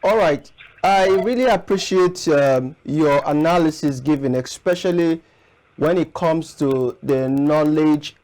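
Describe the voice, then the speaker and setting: A Nigerian man hosting a broadcast interview, putting questions in measured, formal and wordy phrasing. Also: a little high, a little mellow, poor audio. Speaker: interviewer, show host.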